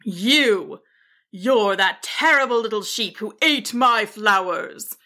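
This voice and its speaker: A woman's voice: medium pitch, takes it slowly, sharp tone. A woman voicing a spiteful, stubborn male wizard in a children's story, snarling and huffy. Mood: snarling, scornful.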